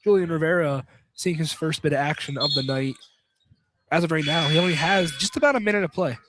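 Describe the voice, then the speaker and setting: A man's voice: on the high side, a little fast. A man calling college basketball live on the radio, chatting conversationally and repeating phrases as he goes. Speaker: basketball play-by-play commentator. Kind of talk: live radio sports commentary with casual chat. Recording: noisy live sports broadcast with clicks.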